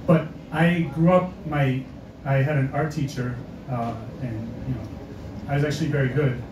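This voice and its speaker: A man's voice: medium pitch, steady tone, normal speed, noisy background. A man from the area doing a stand-up comedy set, wry and self-mocking, with lots of hesitant fillers. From Boston area, USA. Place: comedy club stage. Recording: echoey club audio from a distance.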